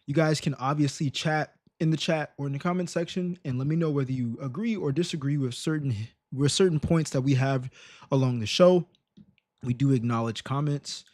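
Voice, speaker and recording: A man hosting a casual reaction livestream, slangy and emphatic with rhetorical questions, in a slightly raspy voice. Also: mid-range voice, a little mellow, no room sound, studio quality. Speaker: live stream host reacting to videos.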